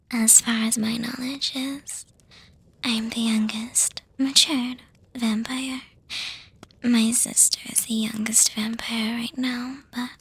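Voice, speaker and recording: Woman playing a breathy whispering vampire girlfriend. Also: fairly high pitch, no echo, exaggerated voice. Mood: soft, matter-of-fact. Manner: slow, hesitant pauses with soft hums. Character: young female vampire girlfriend, doting, refined, gently teasing. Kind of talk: ASMR girlfriend roleplay audio. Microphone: close microphone, dry.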